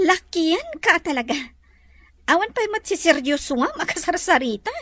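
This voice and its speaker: High-voiced bossy madam. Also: female voice, big pitch swings, moderate pace, fairly bright tone, no room sound, exaggerated voice. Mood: teasing. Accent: Ilocano. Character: bossy, teasing lady employer. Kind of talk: radio drama acting.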